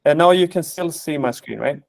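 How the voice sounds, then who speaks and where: Swedish guy hosting a webinar, kinda high voice, dry close sound, lots of ums. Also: brisk, vocal fry, no room sound. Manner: friendly, hesitant with many fillers. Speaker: webinar host. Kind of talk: casual webinar chat.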